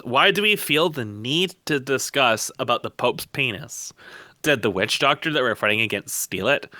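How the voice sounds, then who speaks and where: A man with a very bright, hard-edged voice holds forth in an opinionated podcast discussion. He speaks bluntly and emphatically, often asking questions to press his point. The sound is close and dry, with little room to it. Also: medium pitch, dry recording. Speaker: podcast guest and game content developer. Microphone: voice call microphone.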